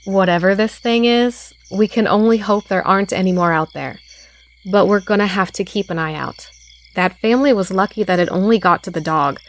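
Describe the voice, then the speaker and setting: A small-town sheriff played by a woman, level-headed and knowledgeable. Her medium-pitched voice is matter-of-fact and thoughtful, in the natural style of a scripted audio drama. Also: normal speed, lightly acted. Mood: uneasy, decisive.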